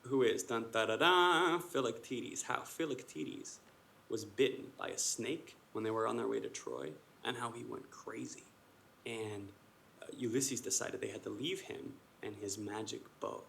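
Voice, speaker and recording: A man's voice: mid-range voice, moderate pace, gravelly, a bit bright. A man acting a hesitant, self-doubting army officer who speaks casually and ramblingly in a naturalistic stage play. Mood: storytelling. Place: indoor performance space. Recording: live stage video with background noise.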